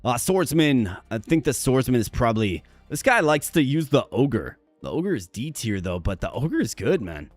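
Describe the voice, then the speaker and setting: A man's voice: mid-range voice, sing-song, moderate pace, few pauses, no room sound, soft background music. A man streaming a game, talking casually and upbeat to his viewers, with a strained, worn voice and an occasional stutter. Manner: upbeat, chatty, addresses viewers directly; stutters sometimes, repeats words. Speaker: gaming streamer. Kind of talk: casual live-stream commentary.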